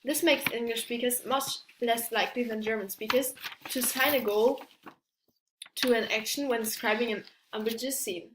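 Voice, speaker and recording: Student presenter, young woman, higher voice, halting delivery full of restarts, roomy echo. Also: fairly even intonation, background noise. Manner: hesitant, many restarts and fillers, non-native English phrasing. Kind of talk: class presentation. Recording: echoey room.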